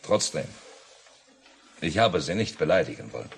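Army officer, very low voice, lively intonation, reserved and polite, 1950s radio drama. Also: male voice, moderate pace. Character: polite occupying army officer, quiet and friendly. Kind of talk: 1950s radio play acting. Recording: noisy mono 1950s radio recording.

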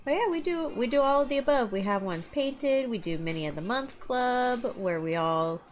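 A woman's voice: on the high side, lively intonation, normal speed, muffled recording. Giggly woman streaming over faint music. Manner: chatty, laughs often between remarks. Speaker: livestream co-host and miniature painter. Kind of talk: casual live-stream chat while painting. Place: home hobby room, indoors.

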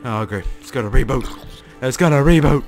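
A young man giving casual, rambling commentary over his own gameplay, joking at himself and breaking into laughing shouts. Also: medium pitch, fairly quick, over music. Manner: rambling, self-mocking, bursts into laughing shouts. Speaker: gaming YouTuber.

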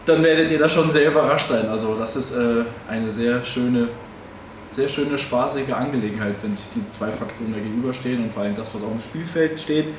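A man's voice: medium pitch, fairly quick. A man in his thirties co-hosting, chatting casually with many fillers and restarts, in a hobby shop. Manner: rambling, many fillers and self-corrections. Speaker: co-host of a tabletop game channel. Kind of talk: casual chat introducing a battle report. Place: hobby game shop, indoors. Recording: echoey room, distant mic, faint hiss.

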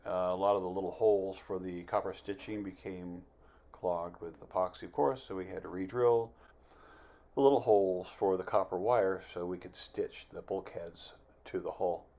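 A man in his fifties giving a casual, plain-spoken, unhurried voice-over as an amateur builder narrating his project. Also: sits low, fairly even intonation, dark tone. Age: 50s.

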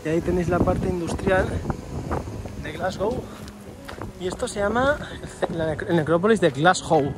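A man's voice: high voice, moderate pace, pauses often, background noise. A man with a Spain accent giving casual, chatty travel narration to camera while walking outdoors. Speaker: travel vlogger. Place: mostly outdoors in city streets and parks. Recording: handheld outdoor vlog with music behind.

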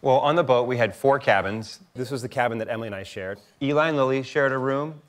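Fast-talking man guest on a talk show. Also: medium pitch. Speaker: guest, father. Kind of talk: casual talk show interview. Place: TV studio set, on a couch.

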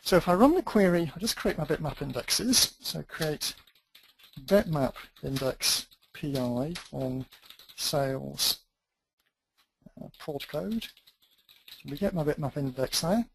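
A man's voice: fairly high pitch, animated, slow, creaky, long pauses, slight background noise, rough recording. A man teaching a database tutorial, thinking aloud as he types through a live demo with dry, self-deprecating asides. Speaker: database instructor.

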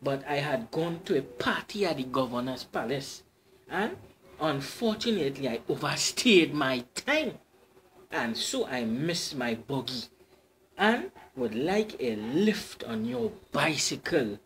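A man's voice: fairly high pitch, very animated, measured pace, a bit bright, a little hiss or hum, exaggerated voice. A man voicing a seductive female spirit in a red gown. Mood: genteel. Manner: coaxing, dainty feminine lilt. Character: seductive shape-shifting she-devil in red gown.

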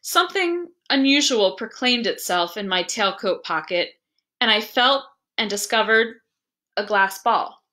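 Bright-voiced woman reading a story dramatically. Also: medium pitch, animated, a little slow. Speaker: librarian reading a story aloud. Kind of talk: expressive literary storybook narration.

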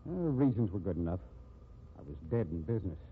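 Bitter down-and-out, self-pitying, low voice, 1950s radio drama, thin noisy recording. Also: male voice, big pitch swings, fairly quick, narrow, tinny audio. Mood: bleak, resigned. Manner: sardonic, self-pitying, weary. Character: bitter ruined man, former businessman now panhandling.

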